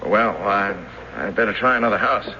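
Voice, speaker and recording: An actor in a radio drama playing an upright, bewildered middle-aged schoolteacher who speaks haltingly. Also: male voice, fairly low pitch, pushed and bright. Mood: anxious, puzzled. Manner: hesitant, halting. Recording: dull, noisy old radio broadcast.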